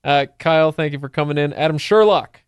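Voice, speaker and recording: A man's voice: fairly high pitch, brisk, clean tone, no echo, studio quality. A man hosting a podcast in a casual, joking, conversational style. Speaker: podcast host.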